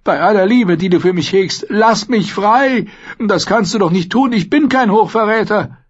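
An elderly noble prisoner in a storybook, haughty and desperate. The voice leaps high and swoops about with wounded, pompous pleading. The sound is a touch dull. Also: male voice, animated, moderate pace, breathy edge. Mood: desperate, outraged. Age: older. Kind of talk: audiobook character acting in a fantasy novel.

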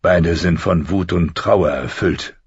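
A grave, stern nobleman in a fantasy audiobook , voiced by a man. His voice is deep and very husky. He questions gravely, in a slightly dull recording. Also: slow pace, dry recording, heavily acted. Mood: worried. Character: stern noble lord, bewildered husband, quick to anger.

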